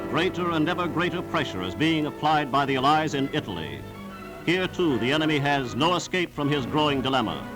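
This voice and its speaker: A man's voice: fairly high pitch, expressive, brisk, over music, poor audio. A man reading scripted 1940s newsreel narration in a formal, emphatic, declamatory manner on a vintage soundtrack.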